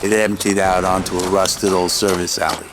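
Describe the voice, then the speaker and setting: A man's voice: low voice, flat delivery, moderate pace, noisy background. A man reading scripted narration for a radio drama. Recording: long reverb over faint music.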